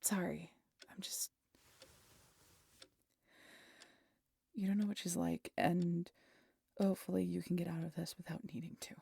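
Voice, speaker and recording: Husky, low-voiced vampire woman, acted by a woman. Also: steady tone, mellow, heavily acted. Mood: shaken, hushed. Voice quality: breathy, husky, often dropping to a whisper. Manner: dry, sardonic, halting. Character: weary, guarded female vampire, sardonic and protective. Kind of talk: intimate scripted audio roleplay addressed to the listener.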